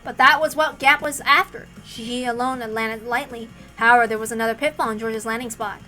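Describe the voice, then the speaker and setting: A woman narrating a visual novel aloud in an expressive, dramatic storytelling style. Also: a little high, brisk, soft background music. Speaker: narrator, reader.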